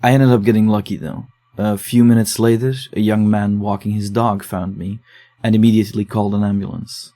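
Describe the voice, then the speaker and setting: An actor playing a dogged, low-key man investigating case files in a horror audio drama, speaking in a subdued, measured way. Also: level tone, dark tone, natural voice. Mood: earnest, narrating. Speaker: investigator digging through case notes.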